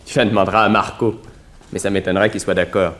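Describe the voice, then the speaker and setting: A man's voice: on the low side, little pitch movement, fast, fairly bright tone, natural voice. An actor playing a curt, slangy gangster henchman in a 1960s French radio drama. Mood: curt, taunting. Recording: roomy, faintly noisy vintage radio recording.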